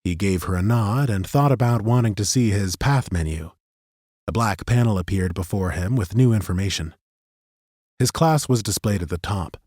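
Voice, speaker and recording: A man narrating a fantasy audiobook in an expressive, scripted storytelling style. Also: lowish voice, normal speed, slightly rough, no room sound, clean studio sound. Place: dry recording studio.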